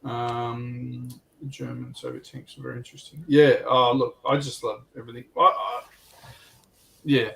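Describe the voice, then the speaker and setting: Gravelly, mid-pitched man chatting casually. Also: expressive, moderate pace, a bit bright, rough recording. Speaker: livestream panelist giving gaming advice. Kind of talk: casual chat.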